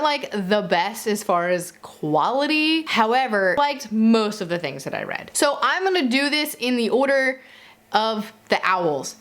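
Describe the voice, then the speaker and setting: Lively, animated woman chatting casually. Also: medium pitch. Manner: informal and conversational, self-correcting, with playful asides. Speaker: book reviewer vlogger. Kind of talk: casual chatty monologue to camera.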